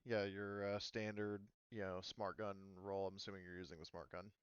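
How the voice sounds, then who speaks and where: A man running a tabletop roleplaying game as game master, casual and halting as he thinks aloud. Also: fairly low pitch, level tone, clear, resonant tone, dry recording, dull audio.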